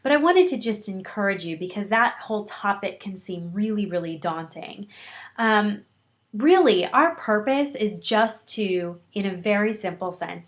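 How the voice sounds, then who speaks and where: A middle-aged woman records a lecture for her counseling students. She speaks at an ordinary pitch and keeps a warm, encouraging, conversational tone. She often pauses on an um and restarts her sentences. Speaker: counseling instructor.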